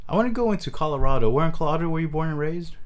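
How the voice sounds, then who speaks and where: Man, halting casual interviewer. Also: on the high side, expressive. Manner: halting, stammering questions. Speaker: interviewer, host.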